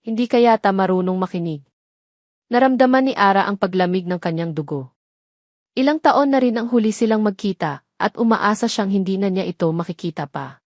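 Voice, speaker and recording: A woman's voice: mid-range voice, animated, a little fast, dry recording, clean studio sound. A woman reading scripted dramatic narration as the storyteller of an audio romance.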